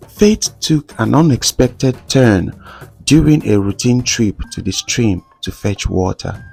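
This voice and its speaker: Slow, gravelly male storyteller. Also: fairly low pitch, a little mellow. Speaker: storyteller narrator. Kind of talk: scripted folktale narration.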